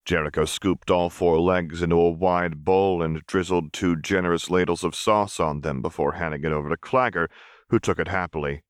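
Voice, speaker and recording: A man reading a story aloud in calm, steady narration. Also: low voice, moderate pace, clear, resonant tone, no room sound, clean studio sound. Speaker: audiobook reader. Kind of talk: scripted narration.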